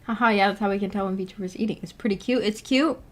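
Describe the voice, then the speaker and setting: A woman's voice: mid-range voice, fast talker. A woman livestreamer chatting casually with her viewers, in a rambling, hesitant, giggly manner. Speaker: livestreamer, book club host. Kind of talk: casual livestream chat, reading and answering viewer comments.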